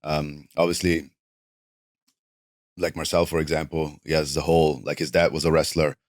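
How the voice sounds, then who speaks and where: A man in his thirties from northern Italy with a South Tyrolean German-Italian accent, whose first language is a German dialect. He talks as a guest in a relaxed, rambling way, leaning on "like" a lot. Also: rumbling low, normal speed, no echo, clean studio sound. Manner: relaxed, rambling, heavy use of "like". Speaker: interview guest, professional wrestler. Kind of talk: casual interview chat. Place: studio.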